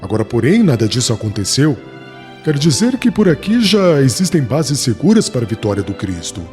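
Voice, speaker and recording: Male actor as fervent apostle, emphatic and oratorical, lively swinging intonation, audiobook drama, light music. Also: medium pitch, fairly quick, natural voice. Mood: resolute, exhorting.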